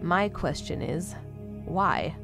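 A woman's voice: on the low side, lively intonation, slow pace, gravelly, over music, heavily acted. A woman playing a sardonic female android who hosts a broadcast in an audio drama, wry and formally articulate. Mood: dry, questioning. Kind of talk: audio drama, talk-show hosting.

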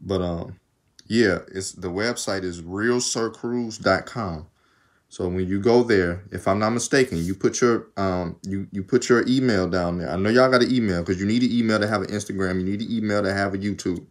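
A man's voice: on the low side, moderate pace. A young man with a slight Southern accent, rambling casually to his phone camera in a small room, laughing often and a bit tired from undoing his braids. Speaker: vlogger. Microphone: phone camera at arm's length.

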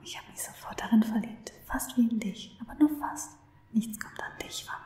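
A woman playing an obsessive, lovestruck stalker in an ASMR roleplay, cooing tenderly to her captive in an unhurried, breathy whisper, close to the microphone. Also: on the high side, wildly swooping pitch, warm tone, reverberant. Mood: excited, adoring.

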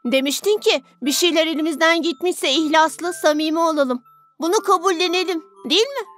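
An earnest, polite young boy from a cartoon dub. The voice is very high and bright, with soft music behind it. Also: a little fast. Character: earnest, devout young boy, big brother. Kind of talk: dubbed children's cartoon acting.

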